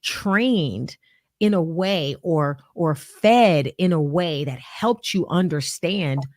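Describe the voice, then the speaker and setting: A woman's voice: low-pitched, animated, takes it slowly, darkish tone, no echo, studio quality. A woman hosting a faith-based leadership talk, speaking emphatically and building her points through analogies.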